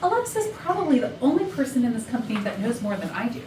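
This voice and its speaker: A woman's voice: fairly high pitch, big pitch swings, fast. A woman playing a gossipy, catty, flirtatious office worker who confides suggestively to the audience in a comedic stage play. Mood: playful, confiding. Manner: chatty, suggestive, conspiratorial. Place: indoor rehearsal room. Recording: roomy rehearsal, distant mic, noisy background.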